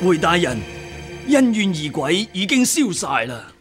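A man's voice: sits high, big pitch swings, measured pace, over music. A man with a Hong Kong Cantonese accent playing a deferential, superstitious exam hall attendant in a radio drama, respectful and obsequious.

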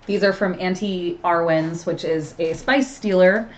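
A woman's voice: fairly low pitch, measured pace, slight background noise. A woman in her thirties co-hosting a casual livestream chat from a small room, chatty and upbeat. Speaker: co-host.

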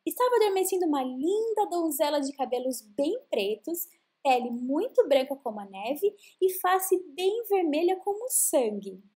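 A Brazilian woman tells a children's fairy tale in a high voice. Her melody rises and falls widely, and she stretches words for expressive emphasis. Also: moderate pace. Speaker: children's storyteller.

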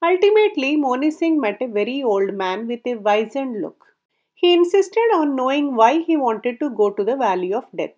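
A woman narrating a folk tale aloud in a measured storybook reading, her sentences falling at the ends. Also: high voice, very animated, a little mellow.

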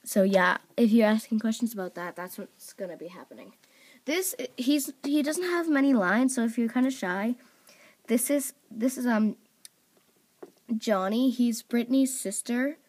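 A woman's voice: a little high, moderate pace, a little mellow. A young woman casually introducing her characters at home, talking in a rambling way with lots of fillers. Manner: rambling, filler-heavy, scolds her dog off-mic. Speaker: video creator. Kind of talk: casual chat. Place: home room at a table of toys.

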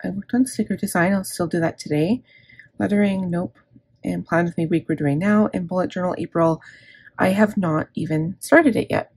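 A middle-aged woman with a scratchy, gravelly throat, chatting casually and candidly through her planner, rambling and correcting herself. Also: on the low side, fairly even intonation, moderate pace, darkish tone, dry recording. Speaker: planner vlogger. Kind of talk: casual plan-with-me chat. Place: indoors at a desk.

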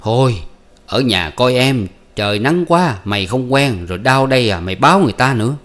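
A man's voice: medium pitch, expressive, brisk, fairly bright tone, close to an everyday voice. A man voicing an honest, simple peasant farmer who speaks bluntly and plainly. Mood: gentle. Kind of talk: storybook reading.

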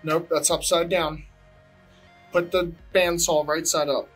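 A man calmly and patiently giving short instructions while doing plumbing work at home. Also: on the high side, unhurried, slightly rough, soft background music. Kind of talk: casual chat while working.

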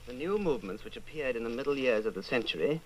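A man playing an earnest, resolute rebel with a deliberate delivery in an old-time radio drama. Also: medium pitch, lively intonation, slight background noise, natural voice, rough recording. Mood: reading solemnly. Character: earnest, resolute male rebel against the Party.

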